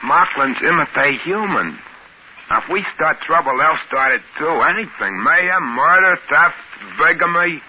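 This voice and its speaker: Hissy old radio, folksy American space trader actor. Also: male voice, a little high, lively intonation, normal speed, lightly acted. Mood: earnest, explaining. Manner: colloquial, ungrammatical, folksy speech. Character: folksy, easygoing male space trader and narrator. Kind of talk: 1950s radio drama acting with first-person narration. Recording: hissy, muffled 1950s radio recording.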